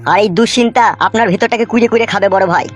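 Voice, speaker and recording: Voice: mid-range voice, fairly even intonation, rapid-fire, soft background music, close to an everyday voice. A voice actor playing a foul-mouthed male henchman in a cartoon, crude and mocking. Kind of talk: cartoon voice acting.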